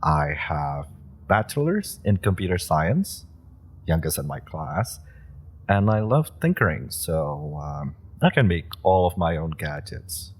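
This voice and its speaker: A man's voice: low voice, very animated, unhurried, exaggerated voice. A young man voicing an eager, pushy super-powered rookie in an audio roleplay, talking the listener round with persuasive, playful chatter.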